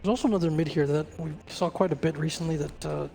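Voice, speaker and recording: A man's voice: fairly high pitch, fairly even intonation, fast, warm tone. A man explaining draft choices for an esports match, full of ums and uhs. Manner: explanatory, many fillers. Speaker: esports analyst. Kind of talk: draft analysis commentary. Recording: music clearly behind.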